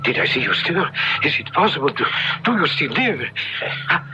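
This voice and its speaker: An actor playing a compassionate, pious priest with earnest theatrical delivery in a 1970s radio drama. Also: male voice, a little high, big pitch swings, moderate pace, highs cut off. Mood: pitying, startled. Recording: band-limited 1970s radio with music.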